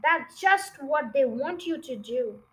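A protective mother fox from a children's storybook, played by a woman reader. The voice is very high, firm and anxious. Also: lively intonation, takes it slowly. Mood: scolding.

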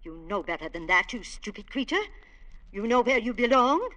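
An actress playing a haughty, snobbish society matron, affected and imperious, in old-time radio drama style. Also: female voice, on the high side, animated, moderate pace, fairly bright tone, highs cut off. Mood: scornful.

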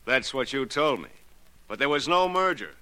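An actor playing a bitter, accusing investor with menace in old 1940s radio drama style. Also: male voice, medium pitch, lively intonation, normal speed, poor audio. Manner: forceful, accusing. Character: bitter ruined investor, a menacing man out for payback.

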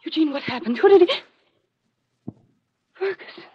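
A woman's voice: very high-pitched, animated, a little slow. A woman playing a frightened, frantic character in a 1940s radio mystery, on a dull, noisy old recording. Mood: frightened, shocked. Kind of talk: 1940s radio melodrama acting.

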